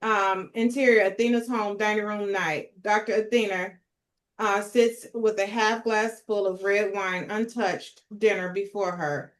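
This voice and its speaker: A woman's voice: mid-range voice, fairly even intonation, measured pace. A woman narrating screenplay stage directions as she leads a table read.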